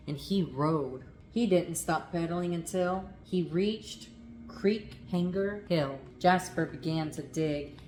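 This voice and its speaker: A woman reading a picture book aloud in a dramatic children's narration, expressive and stretching words for suspense. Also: lowish voice, a little hiss or hum, low-quality recording.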